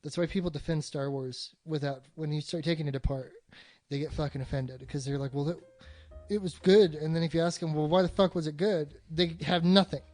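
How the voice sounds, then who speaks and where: A man's voice: on the high side, steady tone, a little fast, darkish tone, faint music behind. A man talking casually and emphatically about films as a podcast co-host, opinionated and swearing freely. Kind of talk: casual film discussion chat.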